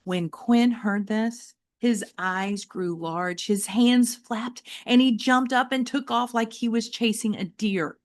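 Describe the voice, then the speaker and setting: A woman reading a children's novel aloud as an expressive, dramatic storyteller who varies her pacing. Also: on the high side, slow pace, few pauses. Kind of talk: expressive children's audiobook narration.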